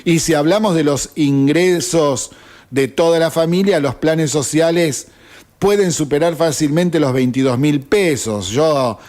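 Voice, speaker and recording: A man's voice: on the high side, measured pace, darkish tone. Indignant Argentine man ranting on radio. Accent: Argentine Spanish. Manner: indignant, ranting, with rhetorical questions. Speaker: radio host. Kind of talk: opinion monologue on radio.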